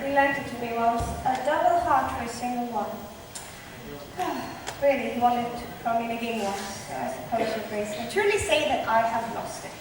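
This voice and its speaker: A woman's voice: a little high, moderate pace, lightly acted. A female amateur actor playing a witty, mocking young noblewoman with a teasing, sardonic delivery on stage. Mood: dry, teasing. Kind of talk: amateur stage acting, school drama club. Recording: distant echoey hall, clear background noise.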